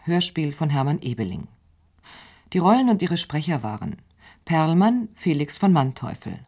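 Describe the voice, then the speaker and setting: Female radio announcer for scripted credits: low, slightly husky, with lively intonation.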